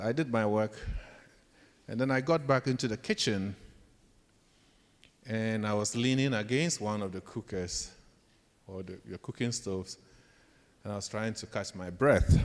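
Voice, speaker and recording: A man with a Ghanaian accent giving a storytelling leadership talk to students as a professor, acting out bits of dialogue in an anecdotal way. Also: lowish voice. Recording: echoey hall with long reverb.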